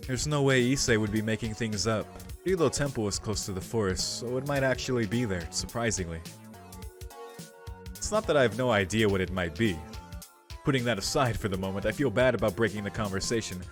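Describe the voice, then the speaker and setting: Male game streamer, lowish voice, casual reading and commentary, soft music behind. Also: a little fast.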